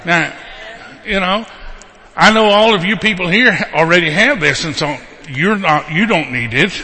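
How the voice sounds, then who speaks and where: A man's voice: high-pitched, unhurried, sharp tone, big-room sound. A man with a Texan accent preaching at a revival meeting, telling a healing story and joking while he promotes his books and CDs. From Texas. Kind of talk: revival sermon with live interpreter.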